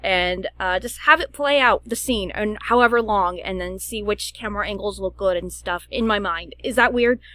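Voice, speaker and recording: A woman's voice: medium pitch, normal speed, hardly any pauses, a bit bright, no room sound. A young woman giving a casual, rambling voice-over as an animator, with a goofy, self-deprecating manner. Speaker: animator vlogger. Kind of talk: casual chatty voice-over about own art process.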